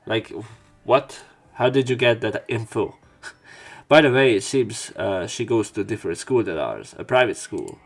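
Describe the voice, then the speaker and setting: A man's voice: fairly low pitch, expressive, unhurried, vocal fry, soft background music. A man reading a visual novel aloud and adding his own casual commentary. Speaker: let's play narrator.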